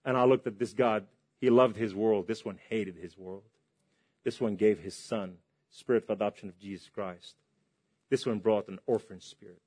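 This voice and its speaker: A man from Lebanon preaching a testimony sermon to a large hall, impassioned and swinging between loud and hushed. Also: on the low side, steady tone, normal speed, husky.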